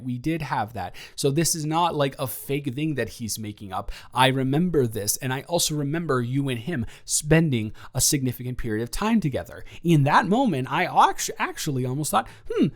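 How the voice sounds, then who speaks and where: A man playing a flustered, goofy roommate confessing love to a friend, rambling casually and haltingly in an improvised audio roleplay. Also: a little high. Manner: halting, stammering, animated, jokey.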